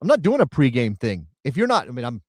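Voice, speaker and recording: A man co-hosting a casual soccer talk show, emphatic and animated, often repeating words as he builds a point. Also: mid-range voice, quick pace, dry recording, clean studio sound. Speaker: co-host and radio broadcaster.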